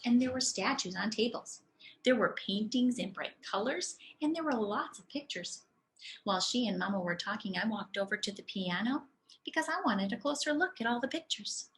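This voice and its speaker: A woman, a preschool teacher, reading a story aloud to young children with deliberate, expressive phrasing. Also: mid-range voice.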